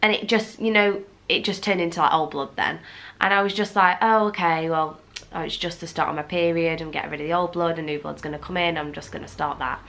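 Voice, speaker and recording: A young woman from England talks to her camera in a loose, candid way, full of fillers and restarts. Her nose is blocked and she sounds fluey. Her voice sits fairly low for a woman. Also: fairly even intonation, fairly quick, vocal fry, fairly bright tone. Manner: chatty and candid, frequent fillers and restarts, sometimes swears. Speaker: vlogger. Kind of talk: casual chat to camera.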